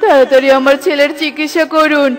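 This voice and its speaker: A woman's voice: sits high, fairly even intonation, a little fast, natural voice. Woman voicing a greedy male crow shopkeeper. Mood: urgent. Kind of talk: cartoon voice acting. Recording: music underneath.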